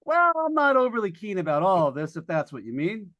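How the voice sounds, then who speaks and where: A man plays a down-to-earth skeptic reporting from a haunted house in a radio suspense drama. His pitch moves around a great deal. He talks informally and haltingly, with a jumpy edge. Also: very animated, fairly bright tone. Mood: casual, skeptical. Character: plain-spoken skeptical male broadcaster exploring a haunted house. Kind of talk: live old-time radio suspense acting.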